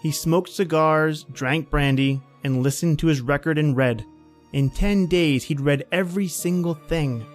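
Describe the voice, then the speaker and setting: A man's voice: a little high, a little slow, soft background music, natural voice. An actor playing a plain-spoken man at a snowbound outpost, telling his story in a folksy, conversational old-time radio style. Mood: reflective.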